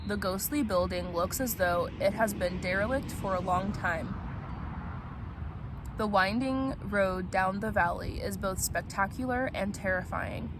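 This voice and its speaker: Woman vlogging outside, chatty and playful, normal pitch, lots of street noise behind her. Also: a little slow. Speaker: host of a book vlog. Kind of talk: casual vlog chat and novel read-aloud. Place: outdoors at a picnic spot. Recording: noisy outdoor recording with traffic ambience.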